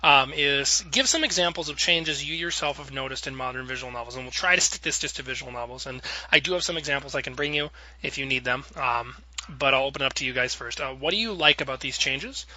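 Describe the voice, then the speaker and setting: A man with a very bright, sharp voice hosts a casual podcast panel. He is informal and wordy, rewording questions as he goes. The sound is dry and close. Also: medium pitch, fast talker, no echo, low-quality recording. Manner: informal, wordy, rephrases his questions with examples. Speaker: podcast host and moderator. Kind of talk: casual panel discussion, putting questions to guests.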